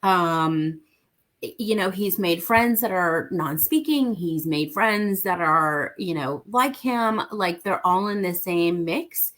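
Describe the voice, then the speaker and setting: A woman from Mexico with a somewhat low-pitched voice speaks as an interview guest. She talks about parenting earnestly and hammers home her points by repeating them, in a relaxed conversational way. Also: slow.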